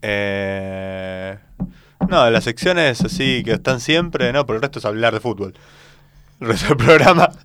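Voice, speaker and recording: A man with an Argentine accent co-hosting a comedy radio show in quick, casual banter. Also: mid-range voice, lively intonation, background noise.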